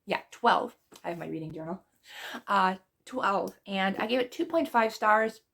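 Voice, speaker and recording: A woman's voice: medium pitch, lively intonation, unhurried, gravelly, fairly bright tone. A woman book vlogger losing her voice, chatting casually through her monthly reading wrap-up with rambling asides and small laughs.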